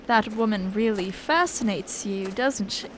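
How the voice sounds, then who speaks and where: A woman acting a loyal gentleman sidekick in an amateur audio drama, polite, proper and earnest. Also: medium pitch, a little slow, a little hiss or hum, lightly acted. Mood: amiable, apprehensive.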